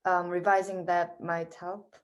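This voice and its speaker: A young woman, a student, sharing calm, plain remarks on a video call. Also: on the low side, unhurried. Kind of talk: casual webinar remarks.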